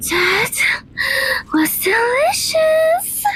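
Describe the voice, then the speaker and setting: Woman acting a high-pitched, bubbly young vampire mistress. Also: big pitch swings, drawn-out words, fairly bright tone, a little hiss or hum, heavily acted, poor audio. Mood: gleeful, hungry. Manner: bubbly, informal, giggly. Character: playful, girlish, hungry young vampire mistress, eager and sweet. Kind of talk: ASMR roleplay acting.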